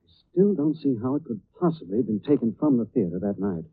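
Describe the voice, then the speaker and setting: An actor playing a composed, resolute, urbane gentleman detective in a 1940s radio style. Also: medium pitch, big pitch swings, fast talker, slightly rough. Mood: calm, explanatory. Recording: tinny band-limited old radio broadcast.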